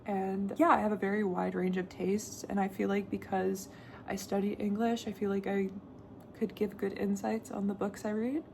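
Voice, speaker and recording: Chatty young woman with vocal fry. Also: medium pitch, flat delivery, normal speed, a little hiss or hum. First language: Danish. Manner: chatty, talks on and on, laughs often. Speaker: book vlogger. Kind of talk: casual chat to camera.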